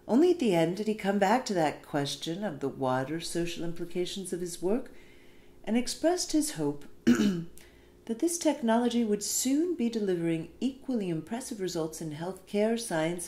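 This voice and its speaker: Female essay narrator, slightly low register, very animated intonation, emphatic phrasing, recorded in a roomy space. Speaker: reader of an essay. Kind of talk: scripted narration, essay read aloud. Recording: roomy echo, faint background noise.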